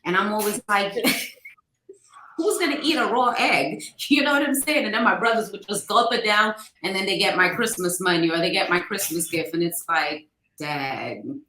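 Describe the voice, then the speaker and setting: Interview guest, woman born in Guyana, chatty storyteller with a bright tone in a roomy space. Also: mid-range voice, moderate pace, slight background noise. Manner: warm storytelling with fond anecdotes. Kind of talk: casual interview.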